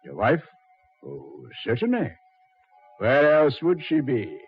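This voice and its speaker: Satan in an old 40s radio horror show, smooth mocking politeness, measured delivery, muffled lo-fi sound. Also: male voice, mid-range voice, huge pitch swings, full character voice. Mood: sardonic. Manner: measured, sardonic, mock-polite. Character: the Devil, suave, mocking, coldly taunting male master of hell. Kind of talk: 1940s American radio horror acting. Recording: dull, muffled 1940s radio recording.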